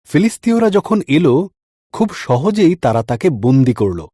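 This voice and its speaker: A man's voice: a little high, very animated, measured pace, warm tone, dry recording, clean studio sound. A man narrating a scripted story in a dramatic storytelling style. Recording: music under the voice. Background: background music.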